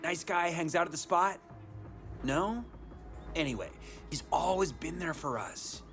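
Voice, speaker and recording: A good-natured young street racer with a raised, very animated voice. He rambles casually and a little apologetically. Music sits under his game dialogue. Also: a little high, a little slow. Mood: earnest, sheepish. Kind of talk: video game cutscene dialogue.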